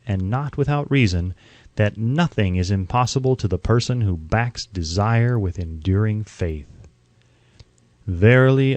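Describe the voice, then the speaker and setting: A man reading an audiobook from a script in a measured, formal, earnest way, close to the microphone. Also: medium pitch, a little mellow, dry recording. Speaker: audiobook narrator.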